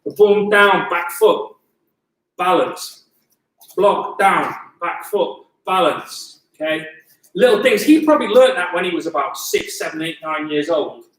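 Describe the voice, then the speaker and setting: Late-forties male coach, high voice, drills cues in an echoing gym, mic well away from him. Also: lively intonation, a little slow, fairly bright tone. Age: 40s. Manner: drills moves with short repeated cues, self-deprecating asides. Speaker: Muay Thai coach. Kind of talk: live online class instruction. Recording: echoey gym from a distance.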